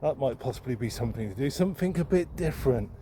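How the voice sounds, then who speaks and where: A man's voice: medium pitch, expressive, fast talker, warm tone. A man talking casually and unscripted, thinking aloud in a hesitant, rambling way as he records a vlog while walking outdoors. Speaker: second-hand bookseller and vlogger. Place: outdoors, walking along a town street. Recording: outdoor street noise with passing traffic. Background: street ambience and vehicles.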